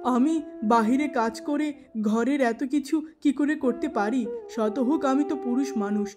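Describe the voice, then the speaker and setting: A woman's voice: on the high side, unhurried, lightly acted. A woman voicing a poor, weary middle-aged father in a cartoon moral tale, pleading and plaintive. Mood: reproachful.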